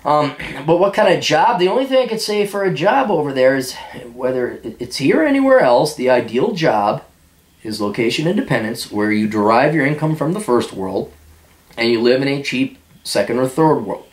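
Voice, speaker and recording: A man hosting a podcast, answering listener questions in a blunt, sarcastic way and mocking some of them in high put-on voices. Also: big pitch swings, moderate pace, echoey room. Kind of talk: blunt casual monologue reading out and answering listener mail.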